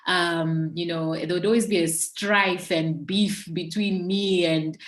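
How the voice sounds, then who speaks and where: A woman from Zambia in her forties shares her story as a podcast guest. Her voice sits a touch low and she talks openly and reflectively. The room around her rings with echo. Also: fairly even intonation, slow pace. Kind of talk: conversational storytelling interview.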